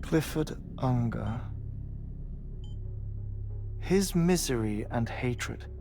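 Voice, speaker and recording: An eccentric, grieving man of science in a video game. His mid-pitched voice has a gravelly edge. He talks in learned, wordy phrases with hesitant breaks, over faint music. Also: lively intonation, mellow, exaggerated voice. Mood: intense, theorizing. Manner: halting, stammering, erudite and wordy. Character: eccentric, grieving male scientist with a weak heart. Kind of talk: dramatic video game acting.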